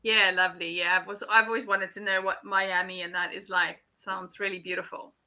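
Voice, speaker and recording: A woman's voice: medium pitch, little pitch movement, a little fast, sharp tone. A South African woman with a South African English accent, tutoring English in a friendly, encouraging way over a video call. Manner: friendly, encouraging, lots of affirming "yeah". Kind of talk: casual conversation class.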